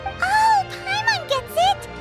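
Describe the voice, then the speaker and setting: Game role: tiny cheerful fairy mascot, extremely high and squeaky, lively swooping pitch, music underneath. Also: female voice, slow, exaggerated voice. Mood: inquisitive. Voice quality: squeaky, childlike. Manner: refers to herself in third person. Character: small excitable childlike female floating fairy companion, cheerful and curious. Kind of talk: video game voice acting. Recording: music playing behind the voice.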